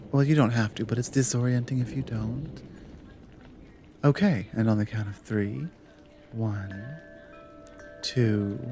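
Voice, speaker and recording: A man playing a resolute, remorseful rebel guide in a dramatic audio roleplay, speaking in a hushed, reassuring, deliberate way. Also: mid-range voice, lightly acted. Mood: calm, instructing. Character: resolute, remorseful male rebel guiding a newcomer.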